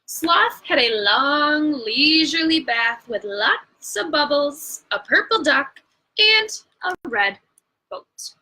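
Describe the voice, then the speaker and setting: A woman's voice: high voice, animated, slow, sharp tone, rough recording. A woman reading a picture book aloud as a bedtime story, drawing out words for emphasis and adding asides to her viewers.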